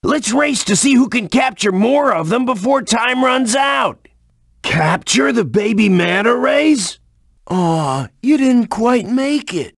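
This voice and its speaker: A man voicing a laid-back, raspy surfer-dude creature in a cartoon video game. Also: extremely high pitch, lively intonation, slow pace, no room sound, studio quality, exaggerated voice. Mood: eager.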